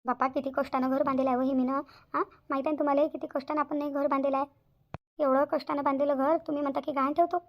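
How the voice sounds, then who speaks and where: Woman voicing a high, rapid-fire worried village mother. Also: flat delivery, muffled recording. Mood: upset, pleading. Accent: Vidarbha Marathi. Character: worried, hard-working village mother, pleading and stubborn. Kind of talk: Marathi cartoon voice acting.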